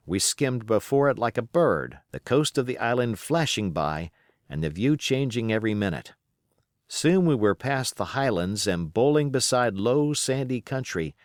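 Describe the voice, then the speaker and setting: A man's voice: fairly low pitch, no room sound, studio quality. A man reading literary narration as an audiobook reader.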